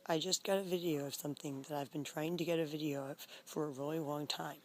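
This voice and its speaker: A woman vlogging at home in a casual video diary, speaking hoarsely and faintly, often near a whisper. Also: low voice, steady tone, fast, heavy background noise. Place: at home, lying on the floor.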